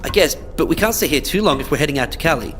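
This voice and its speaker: A man acts a young male survivor in an audio drama, casual, protective and teasing. His pitch swings widely, with faint music behind him. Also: animated, fast talker, a bit bright. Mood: relaxed, reflective. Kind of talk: conversational audio drama acting.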